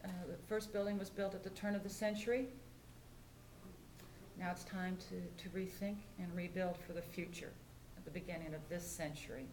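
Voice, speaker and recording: A woman's voice: on the low side, steady tone, normal speed, darkish tone. Older american lady mayor talking at a public meeting, roomy echo, bit of hiss or room noise. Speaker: mayor chairing a council meeting. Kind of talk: informal public remarks at a meeting. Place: indoor council meeting room.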